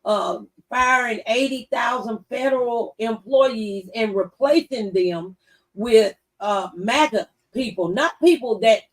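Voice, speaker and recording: A woman's voice: a little high, slow pace, low-quality recording. A woman in a casual political discussion on a talk show, speaking conversationally.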